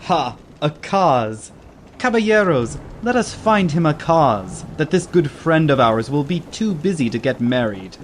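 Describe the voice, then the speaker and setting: A man acts out a boisterous, mocking caballero in an audiobook drama. His slightly high voice swings widely in pitch, theatrical and teasing. A steady ambient sea noise runs behind him. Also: a little slow. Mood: rousing. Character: boisterous, mocking Spanish caballero, always ready to laugh. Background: ambient sea sound bed.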